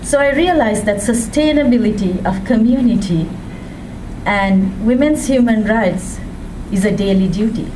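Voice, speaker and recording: A woman from Sri Lanka giving a public speech as a women's rights advocate, with a measured, deliberate delivery and emphatic rises. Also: mid-range voice. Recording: distant mic with background noise.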